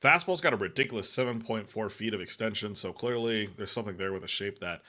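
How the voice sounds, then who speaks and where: A man's voice: fairly low pitch, pushed and bright. A man hosting a fantasy baseball podcast, talking casually with frequent fillers and restarts. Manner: halting, many fillers and restarts. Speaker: podcast host. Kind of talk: casual analytic chat.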